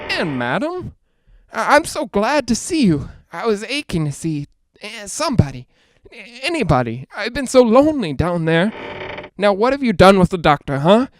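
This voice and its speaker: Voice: mid-range voice, big pitch swings, moderate pace, lightly acted. Actor doing a scared young radio host guy cracking up in a ghost house, breathless rambling, voice all over the place, old radio play. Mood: unhinged, lonely. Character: frightened, unravelling young male radio broadcaster in a haunted house. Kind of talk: 1940s radio drama acting.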